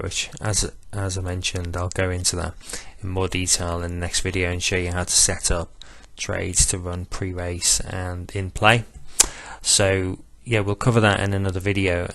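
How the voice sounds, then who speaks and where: Low-voiced man, self-confessed monotone. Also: creaky, dry recording. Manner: self-described monotone, measured with filler pauses. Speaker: betting software demonstrator. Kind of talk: screen-recorded tutorial walkthrough.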